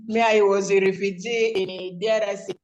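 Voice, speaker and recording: A woman's voice: medium pitch, steady tone, moderate pace, a little mellow, lo-fi sound. A woman with a Ugandan accent speaking informally as the president chairing an online club meeting. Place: remote video call.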